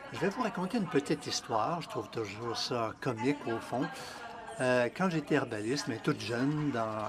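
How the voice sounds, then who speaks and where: A man introducing a speaker and then interpreting her talk, relaying it in the first person in a measured, even way. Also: mid-range voice. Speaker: interpreter and emcee. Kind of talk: live interpretation of a seminar talk.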